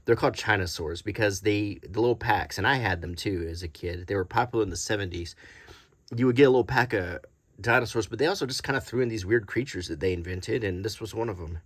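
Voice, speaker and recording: A middle-aged man giving a casual, unscripted show-and-tell in a halting, murmured way, like unintentional ASMR. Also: on the low side, slightly rough, no echo. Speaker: host presenting a vintage game card collection. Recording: noticeable background noise, small clicks.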